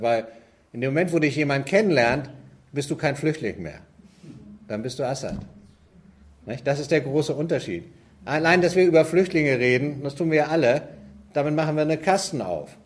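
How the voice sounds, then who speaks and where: Man of average pitch, church team leader, conversational and earnest, posing rhetorical questions. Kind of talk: church talk.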